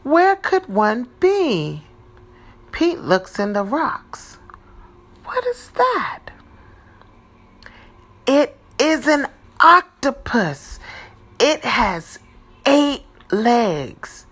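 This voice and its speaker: A woman reading a children's picture book aloud in a deliberate, expressive teacher's style. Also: high-pitched. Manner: deliberate, animated, dramatizing for young children.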